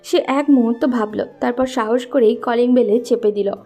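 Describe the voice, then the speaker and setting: Mellow high-voiced woman narrator. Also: normal speed. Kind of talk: scripted romantic story narration.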